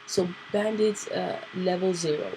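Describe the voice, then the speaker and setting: A woman hosting a casual computer tutorial, nasal and clearing her throat because she is sick, thinking aloud with fillers. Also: fairly low pitch, measured pace, warm tone, slight background noise, poor audio. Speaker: tutorial host. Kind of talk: casual screen-recorded tutorial.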